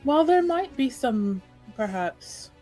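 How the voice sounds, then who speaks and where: A woman voicing a gracious, tactful noblewoman with a courteous, measured way of speaking. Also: high-pitched, very animated, warm tone, soft background music, pitched above the performer's own voice, full character voice.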